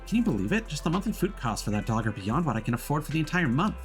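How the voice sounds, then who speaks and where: A man's voice: on the high side, sing-song, rapid-fire, soft background music, pitched above the performer's own voice. A man voicing an indignant, defensive, money-minded young woman boss from a video game. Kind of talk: reading game dialogue aloud.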